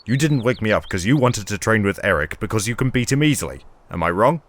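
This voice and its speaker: A man's voice: fairly low pitch, animated, fast, fairly bright tone, a little hiss or hum. An actor playing a stern, demanding Viking father in an audio drama, speaking in a blunt, reproachful, commanding way. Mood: stern, accusing.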